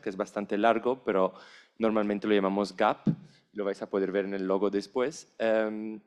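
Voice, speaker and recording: Earnest, lower-voiced man on a panel. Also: clear, resonant tone. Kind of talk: conference presentation. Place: conference room on stage. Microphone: handheld mic.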